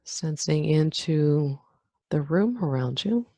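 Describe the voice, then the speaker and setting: Calm lady doing guided meditation, real slow w long pauses, low voice. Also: no echo. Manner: calm, unhurried, with long pauses between phrases. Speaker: meditation guide, yoga nidra teacher. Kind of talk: guided meditation, body scan.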